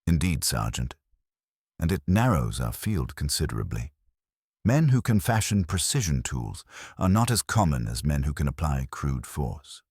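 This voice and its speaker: A man reading as a deep-voiced, understated police inspector. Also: very animated, normal speed, a little mellow, slightly rough, dry recording, clean studio sound, heavily acted. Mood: calm, probing. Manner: conversational, formal, understated. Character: shrewd, understated male English police inspector. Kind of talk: mystery audiobook dialogue.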